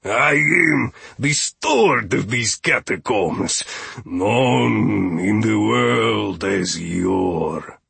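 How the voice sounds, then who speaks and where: A man doing an ancient pedantic catacomb steward, very drawn-out. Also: lively intonation, creaky, fairly bright tone, breathy edge, no echo, full character voice. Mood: friendly, patient. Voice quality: rumbling, avuncular grumble. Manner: pedantic, ominously polite, drawn-out.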